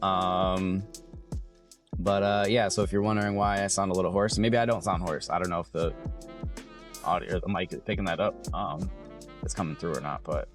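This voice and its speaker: A young adult man with a hoarse, raspy voice, chatting casually and rambling as he loses his train of thought. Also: deep voice, fairly even intonation, normal speed, music in the background. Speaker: vlogger. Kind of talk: casual vlog chat.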